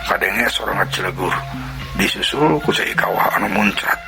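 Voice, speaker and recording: A man's voice: sits low, animated, normal speed, creaky. A man with a Sundanese accent narrating a folk tale vividly, voicing its sound effects himself. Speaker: storyteller. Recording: narration over loud music.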